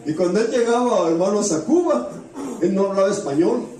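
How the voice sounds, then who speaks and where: A man's voice: high voice, lively intonation, brisk, warm tone. Male preacher in a very echoey hall. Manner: often addresses the congregation with rhetorical questions; repeats phrases for emphasis. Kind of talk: sermon with storytelling. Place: church hall with heavy echo. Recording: very echoey hall, distant mic, faint noise.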